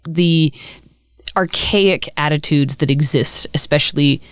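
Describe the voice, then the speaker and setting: Smooth, wry podcast host. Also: mid-range voice, measured pace, fairly bright tone, dry recording, clean studio sound. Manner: articulate and wry, with laughing asides. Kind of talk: casual podcast interview.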